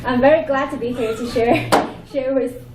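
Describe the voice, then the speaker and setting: Young Chinese-accented woman presenter, earnest and halting, fairly high voice, heard from afar in an echoey room with clear hum. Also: steady tone, distant mic. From Shanghai, China. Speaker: conference presenter. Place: conference room.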